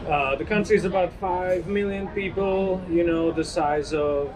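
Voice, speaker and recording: A man's voice: high voice, a little slow, crowd noise. A man in his forties from Eastern Slovakia, a guest talking casually with many "you know" fillers. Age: 40s. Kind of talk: casual podcast conversation.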